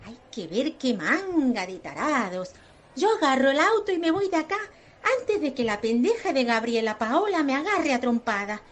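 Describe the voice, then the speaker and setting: A woman's voice: high-pitched, big pitch swings, normal speed, reverberant. A woman playing a brazen, foul-mouthed, manipulative psychotherapist with an Argentine accent in an absurd radio soap. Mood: scheming, crude. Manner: brash, mock speech-making.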